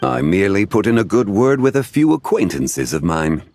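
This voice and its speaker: A learned, courteous gentleman for a video game. The voice is low with a creaky edge, measured and formal. Soft music sits faintly behind it. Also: very animated. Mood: calm, courteous. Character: dignified, learned gentleman connoisseur, calm and courteous.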